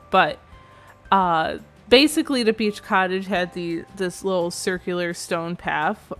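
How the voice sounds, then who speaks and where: A young woman chats casually over her game build, her voice a touch nasal and stuffy. She rambles, second-guesses herself and circles back, at an ordinary middle pitch. Quiet music plays faintly behind her. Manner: chatty, rambling, self-correcting. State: slightly nasal from allergies.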